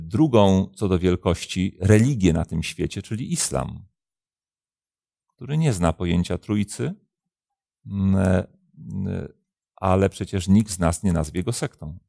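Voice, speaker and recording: Man, fifties, pastor, very slow delivery, gravelly fry, deliberate, hesitant pauses, studio talk. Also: deep voice, dark tone, dry recording. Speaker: pastor and programme host. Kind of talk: answering viewers' questions on religion. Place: indoor studio, seated at a desk.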